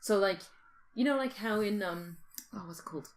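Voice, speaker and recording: A woman's voice: medium pitch, moderate pace, a little hiss or hum. A woman chatting casually and playfully as a podcast co-host, thinking aloud in an informal way. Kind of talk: casual speculative chat.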